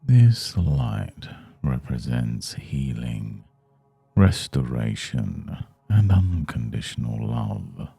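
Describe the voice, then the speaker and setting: A man leading a guided meditation in a soothing, measured, hushed manner. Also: very deep voice, very animated, creaky, husky, dry recording, soft background music. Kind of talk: guided Yoga Nidra meditation.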